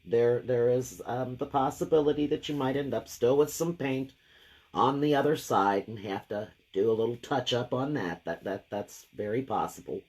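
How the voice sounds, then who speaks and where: Deep, gravelly-voiced woman painting live. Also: moderate pace, a little mellow. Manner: chatty, thinks aloud while working. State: lingering gravelly voice after a cold. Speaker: craft painting host. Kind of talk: casual live painting demo.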